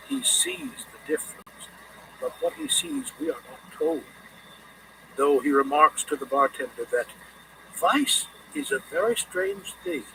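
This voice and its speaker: Higher-pitched, expressive male audiobook narrator. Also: distant mic, noisy background. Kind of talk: scripted literary narration.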